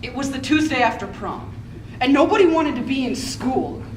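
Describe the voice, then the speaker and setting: A young woman playing a regretful, candid high school student in a readers theater monologue. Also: lively intonation, normal speed. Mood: wry, uneasy. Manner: dramatic, conversational. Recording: echoey room, distant mic, clear noise.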